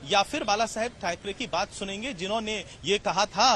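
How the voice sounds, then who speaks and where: Guy reporter live from outside, highish voice, drops english words in, faint city noise. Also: a little fast, sharp tone. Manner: hesitant starts, mixes English words into Hindi. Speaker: field news reporter. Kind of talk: live unscripted news report.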